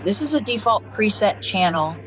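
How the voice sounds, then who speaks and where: A woman's voice: mid-range voice, animated, moderate pace, crowd noise, poor audio. A woman giving step-by-step instructional narration as a product demonstrator.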